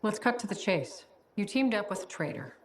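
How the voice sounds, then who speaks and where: A woman's voice: mid-range voice, big pitch swings, a little fast, a little hiss or hum, close to an everyday voice. An actress playing a suspicious female interrogator in a video game, asking probing, pointed questions.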